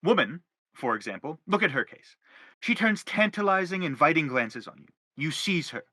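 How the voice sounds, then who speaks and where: A man's voice: a little high, sing-song, moderate pace, pushed and bright, no room sound, exaggerated voice. An actor playing a middle-aged father in a stage play, formal and declamatory as he anguishes and justifies himself. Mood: insistent. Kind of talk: theatrical stage play acting.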